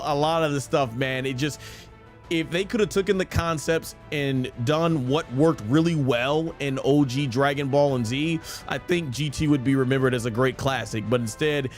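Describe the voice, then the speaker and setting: A man in his thirties hosting a gaming livestream, chatting casually and explaining his opinions at length. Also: a little high. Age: 30s. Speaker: streamer and host of a live gaming show. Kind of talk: casual livestream chat answering viewer questions.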